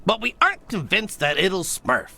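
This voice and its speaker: An actor playing a wise, commanding old leader of tiny cartoon creatures, with a gravelly, raspy voice. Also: male voice, sits high, wildly swooping pitch, moderate pace, bright, forceful tone, full character voice. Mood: cautious, resolute. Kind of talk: animated game dialogue.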